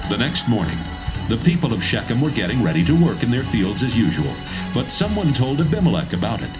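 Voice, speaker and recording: A man narrating a dramatised Bible reading in a steady, measured way. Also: mid-range voice. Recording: narration over music.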